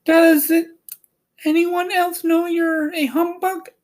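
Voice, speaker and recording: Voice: very high voice, level tone, slow pace, pitched above the performer's own voice. A man voicing a curious, earnest young farm girl in a storybook reading. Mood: puzzled. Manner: earnest, questioning.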